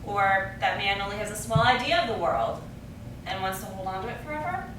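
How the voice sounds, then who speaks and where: A woman's voice: medium pitch, lively intonation, brisk, a bit bright, natural voice. A woman acting an emphatic, oracular chorus voice, declaiming theatrically in an absurdist stage play. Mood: declamatory. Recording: very echoey distant stage, noisy.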